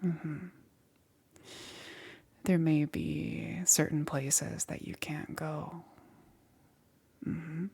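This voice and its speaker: Casting: woman to play a caring witch for ASMR roleplay, husky whisper with crackly fry, halting and reassuring. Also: low-pitched, little pitch movement, heavily acted. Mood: hesitant, concerned. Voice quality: soft, breathy, often whispered. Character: gentle, apologetic witch necromancer caring for her undead patient. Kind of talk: ASMR roleplay, intimate and slow.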